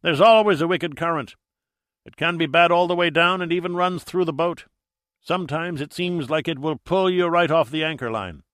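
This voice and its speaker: Audiobook sea captain, played by a man: hearty, blunt seasoned diver, salty turns of phrase, lifted pitch, very dry sound. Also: moderate pace, a bit bright, dry recording, natural voice. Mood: grave warning. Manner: colloquial, salty, vivid storytelling. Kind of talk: audiobook character dialogue.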